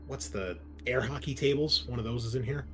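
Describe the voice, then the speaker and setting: A man narrating a tabletop roleplaying game as game master, casual and halting as he improvises descriptions. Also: mid-range voice, fairly bright tone, a little hiss or hum.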